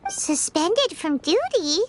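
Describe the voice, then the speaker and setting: A tiny game fairy, extremely high and childlike. She is cheeky and chirpy, with lively swoops in pitch, over clear background music. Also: slow pace, exaggerated voice. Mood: frank, inquisitive. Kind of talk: video game character dialogue. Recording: music behind the voice.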